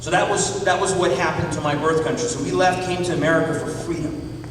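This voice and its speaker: A man's voice: fairly high pitch, fairly even intonation, fairly quick, background noise. Iran-born man preaching in an echoey hall. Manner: emphatic, fond of rhetorical questions to the crowd. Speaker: pastor and public speaker. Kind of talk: political speech to a live audience. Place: large meeting hall. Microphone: distant mic in the room. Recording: echoey hall recorded from far off.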